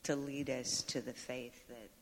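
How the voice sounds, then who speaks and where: A woman sharing thoughts in a church group discussion, earnest and halting, with what she calls a loud voice. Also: rumbling low, level tone, slight background noise.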